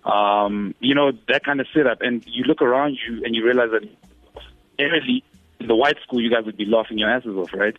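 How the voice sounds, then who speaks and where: A man's voice: medium pitch, brisk, dry recording, narrow, tinny audio. Radio guest, man, 30s, from Zimbabwe, easygoing and rambling, dry studio sound. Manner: relaxed, many fillers and restarts. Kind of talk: casual interview.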